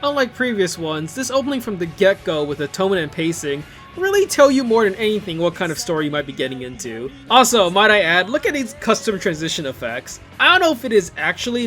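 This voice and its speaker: A man giving casual, enthusiastic video commentary in an excitable, conversational way, full of exclamations and asides. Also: sits high, fairly quick, hardly any pauses. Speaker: video host commenting on visual novel openings. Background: music playing behind the voice.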